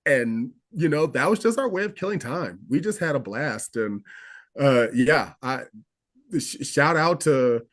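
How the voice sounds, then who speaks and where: A man's voice: mid-range voice, animated, unhurried, fairly bright tone. A man, a guest actor, recalling a film shoot in an interview, enthusiastic and rambling with restarts. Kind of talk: interview recollection.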